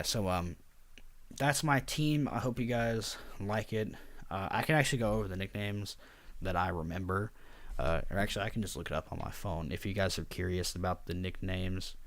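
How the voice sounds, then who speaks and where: Quiet, low-voiced young man rambling. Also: dry recording. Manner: quiet, subdued and somewhat monotone; rambling with frequent fillers. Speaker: draft league coach and video host. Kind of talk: casual spoken commentary over slides.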